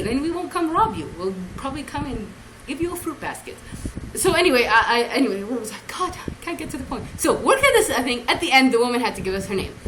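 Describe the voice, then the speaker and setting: A woman's voice: a little high, fairly bright tone, a little hiss or hum, low-quality recording. A young woman telling a rambling, giggly story in a chatty vlog, acting out the voices of the people she quotes. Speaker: vlogger.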